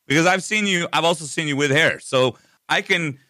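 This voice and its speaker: A man's voice: fairly high pitch, fairly quick, pushed and bright, dry recording. A man in his late thirties on a podcast, teasing the others in playful casual banter. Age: 30s. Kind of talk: casual chat.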